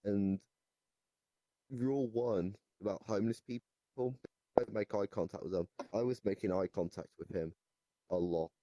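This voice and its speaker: A man playing a deranged goblin storyteller in an improvised comic interview, rambling haltingly and nonchalant about absurd claims. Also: fairly low pitch, fairly even intonation, mellow, no echo, close to an everyday voice, low-quality recording. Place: virtual reality voice chat.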